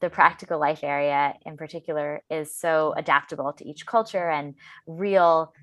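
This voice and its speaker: A woman with a very low voice leads an interview, asking calm, measured questions. Her recording is dry and close, with no room sound around it. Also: no echo.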